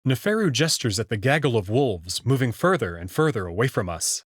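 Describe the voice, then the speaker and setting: A man's voice: medium pitch, very animated, a little fast, breathy edge, no room sound, clean studio sound. A man reading first-person story narration from a script. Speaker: narrator reading a visual novel aloud. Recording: music playing behind the voice.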